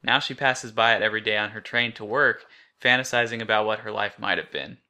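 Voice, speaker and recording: A man's voice: on the low side, brisk, sharp tone. A man co-hosting a podcast, recapping and discussing a story in an informal way. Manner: informal, rambling, frequent "like". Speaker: podcast co-host.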